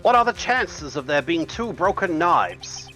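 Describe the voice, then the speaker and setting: A man's voice: a little high, sing-song, unhurried, pushed and bright, faint music behind, exaggerated voice. A man voicing a fussy elderly judge in a live fan dub of a game, with a theatrical, swooping delivery. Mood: puzzled. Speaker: fan voice actor.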